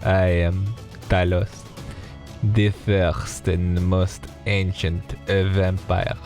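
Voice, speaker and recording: A man reading a visual novel aloud for a let's play, slow and dramatic, stopping to joke with a friend. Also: low-pitched, flat delivery, a little mellow, long reverb tail, soft background music. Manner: reads slowly with pauses, breaks off to joke and laugh.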